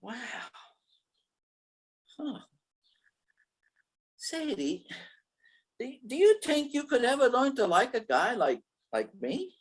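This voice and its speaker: A dim-witted gangster gunman from an old-time radio thriller, acted out by a woman, speaking in a high, swooping voice. The delivery is slow and stammering, that of an insecure, gullible crook who keeps hesitating. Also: sing-song, slightly rough, heavily acted. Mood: awed, smitten. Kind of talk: 1940s radio comedy-thriller acting.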